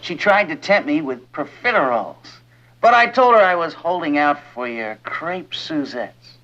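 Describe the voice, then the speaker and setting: A lively, eager teenage boyfriend. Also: male voice, fairly high pitch, normal speed, lo-fi sound. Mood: breezy, eager. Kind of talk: vintage TV sitcom acting.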